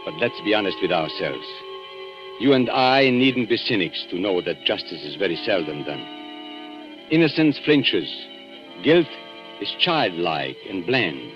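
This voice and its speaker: An actor playing an urbane, cynical antique dealer in a 1940s radio drama, polished and theatrical in manner. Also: male voice, deep voice, very animated, unhurried. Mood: sardonic, reflective. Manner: polished, theatrical, courteous and sardonic. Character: urbane, cynical male London antique dealer, worldly storyteller. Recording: muffled vintage 1940s radio broadcast, music.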